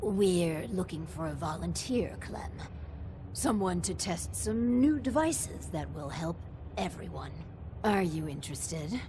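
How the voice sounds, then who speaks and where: An actress playing an officious, coldly pragmatic overseer in a video game, speaking formally and deliberately. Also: female voice, on the low side, expressive, noisy background. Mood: brisk, appraising. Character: officious, coldly pragmatic female vault overseer, measured and scheming. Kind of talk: video game character dialogue.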